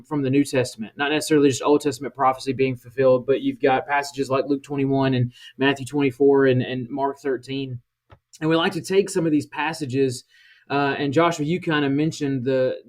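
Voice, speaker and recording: A man's voice: medium pitch, a little mellow. Rambling man hosting a podcast. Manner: rambling, questioning. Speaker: podcast host. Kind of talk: podcast discussion.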